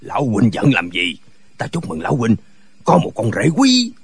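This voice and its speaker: A man's voice: medium pitch, huge pitch swings, moderate pace, creaky, slightly rough, heavily acted. A man playing a wry old martial-arts companion talking to his friend in a dramatized wuxia audiobook. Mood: amused. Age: older.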